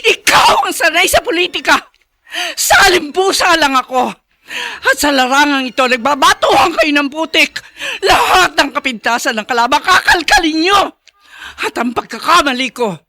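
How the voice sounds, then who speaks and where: A fed-up, indignant wife in a radio drama, pouring out accusations. Her voice is very high and piercing, emphatic and anguished, recorded dry. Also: animated, moderate pace, bright, forceful tone, no room sound, heavily acted. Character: anguished, indignant wife drawn into dirty politics. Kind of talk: Tagalog radio drama acting.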